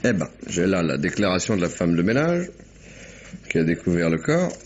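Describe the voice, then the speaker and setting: An actor playing a shrewd, talkative police commissioner with a probing, familiar, ironic manner in a French radio mystery. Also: male voice, low voice, sing-song, moderate pace. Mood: probing, methodical. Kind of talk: French 1950s-style radio mystery acting. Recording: muffled, noisy old radio recording.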